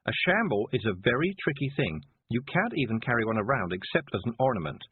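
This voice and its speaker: A man's voice: medium pitch, very animated, brisk, slight background noise, lightly acted. A man doing a patient, kindly witch teacher. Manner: gentle, patient, explanatory. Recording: dry tinny phone-like sound.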